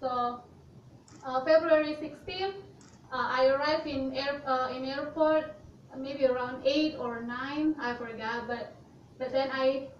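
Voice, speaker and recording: High-voiced woman in a roomy hall. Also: steady tone, takes it slowly, a little hiss or hum. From Indonesia. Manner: hesitant, many fillers and restarts. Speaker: student speaker. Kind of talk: presentation talk. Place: university hall. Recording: roomy, lo-fi sound from a distant mic.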